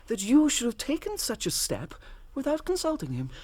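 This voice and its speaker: An actor playing a duke's stiff, officious secretary in a period drama, speaking formally and reprovingly. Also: male voice, extremely high pitch, wildly swooping pitch, normal speed, a little mellow, full character voice.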